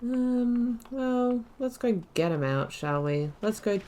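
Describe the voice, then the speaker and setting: A woman's voice: on the high side, expressive, slow pace, mellow. A woman hosting a casual let's play, chatting along and reading dialogue options aloud. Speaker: gaming video host.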